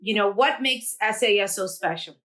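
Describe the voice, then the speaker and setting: A woman's voice: mid-range voice, moderate pace, a bit bright. A woman hosting a podcast interview, asking open questions in an encouraging way. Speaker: podcast host, school board member. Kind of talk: casual interview chat.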